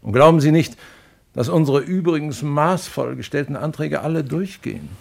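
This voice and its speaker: An actor playing a worldly, dismissive embassy attaché in a radio play, lecturing emphatically. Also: male voice, on the high side, lively intonation, moderate pace, gravelly. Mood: emphatic, lecturing.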